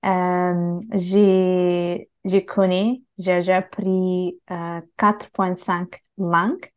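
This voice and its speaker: A woman whose first language is Bengali and who grew up in Italy speaks as an interview guest. Her voice is low for a woman. She talks hesitantly, with frequent fillers and restarts. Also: flat delivery, drawn-out words, a little mellow, smooth. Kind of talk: casual conversational interview.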